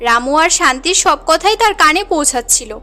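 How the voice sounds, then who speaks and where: A woman tells a cartoon tale in scripted, dramatic narration. Her voice is notably high, with faint music playing underneath. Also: lively intonation, fairly quick, sharp tone. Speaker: story narrator.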